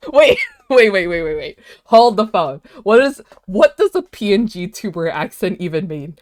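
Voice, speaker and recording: A woman, Vietnamese, talks loosely to her stream chat. Her delivery is animated and chatty, peppered with "like" and quick laughs. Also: mid-range voice. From United States. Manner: animated, chatty, laughs often, many "like" fillers. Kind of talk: casual livestream chat, reading chat aloud.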